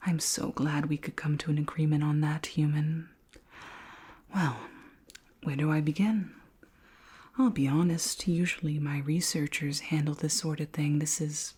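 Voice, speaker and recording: A woman voicing a curious, gently commanding alien queen in a soft, measured, formal whisper for an ASMR roleplay. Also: low voice, husky, exaggerated voice.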